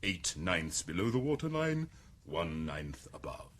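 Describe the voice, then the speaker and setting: An actor with a British accent playing an urbane, powerful male world controller who speaks in a measured, wry, condescending way, in a radio drama. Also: low voice, very animated, heavily acted. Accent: British English.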